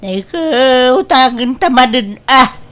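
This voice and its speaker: An actress plays a proud older Ilocano housewife in a comedy drama. Her high voice drags slowly through each line, whiny and insistent, stubbornly set on having her way. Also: lively intonation, bright, forceful tone, full character voice. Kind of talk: Ilocano comedy radio-style drama acting.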